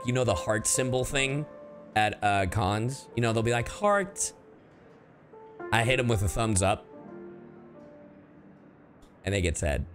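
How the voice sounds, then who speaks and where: A man's voice: fairly low pitch, a bit bright. A man giving casual livestream commentary on a game, playful, irreverent and sweary, with music behind him. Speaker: game streamer.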